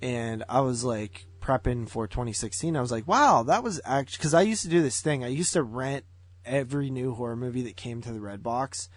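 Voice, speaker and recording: A man's voice: medium pitch, fairly quick, no room sound. A man co-hosting a movie podcast in casual review chat, slangy and blunt, with some mild swearing. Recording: guitar music playing behind the voice.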